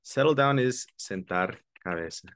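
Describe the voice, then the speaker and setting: A man teaching an online English lesson, switching between English and Spanish and repeating key words slowly for his students. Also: fairly low pitch, expressive, fairly bright tone, no room sound, clean studio sound. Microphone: video call webcam.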